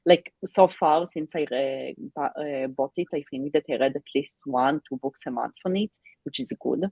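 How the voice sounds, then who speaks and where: A woman who is not a native English speaker talks over a video call, her voice husky and her words halting, full of fillers and restarts. The sound is dry and close, with no room around it. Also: very deep voice, warm tone, dry recording. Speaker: co-host of a live reading stream. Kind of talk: casual chat.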